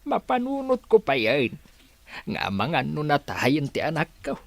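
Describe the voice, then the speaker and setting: A man's voice: fairly high pitch, wildly swooping pitch, vocal fry, a bit bright, no room sound, full character voice. A man with an Ilocano accent playing a remorseful, plaintive old grandfather in a radio drama. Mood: grieving. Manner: sorrowful, plaintive, drawn-out delivery. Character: remorseful old grandfather longing for his lost family. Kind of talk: Filipino radio drama acting.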